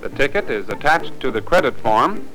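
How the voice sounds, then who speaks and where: A man with an American accent narrates a 1950s training film in a formal, instructive way. His mid-range voice moves with lively inflection. Light music sits behind it on the old soundtrack. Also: mid-range voice, normal speed, poor audio.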